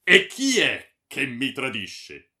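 A man's voice: mid-range voice, big pitch swings, vocal fry, full character voice. A man voicing a furious, anguished baron, imperious and powerful, in a dramatic story reading. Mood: demanding, furious. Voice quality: powerful, forceful. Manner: imperious, shouting, drawn-out threatening words. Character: furious anguished baron, commanding nobleman, male.

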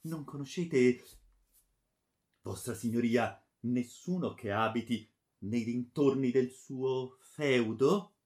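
A man voicing a grim, spiteful friar who pleads and insinuates haltingly in a story. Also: mid-range voice, a little mellow, lightly acted. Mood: pleading, insistent.

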